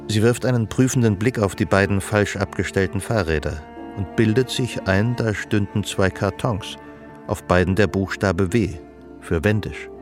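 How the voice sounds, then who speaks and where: A man acting as a detached, observant storyteller, narrating literary radio drama in a measured way. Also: lowish voice, over music, close to an everyday voice. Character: detached, observant male storyteller of a woman's life.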